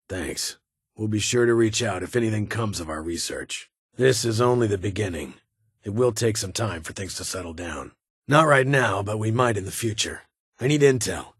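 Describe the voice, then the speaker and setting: An actor playing a stern, guarded military security leader in a video game, speaking formally in clipped, commanding phrases. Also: male voice, low voice, husky, dry recording, clean studio sound. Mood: grim, measured. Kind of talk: video game character dialogue.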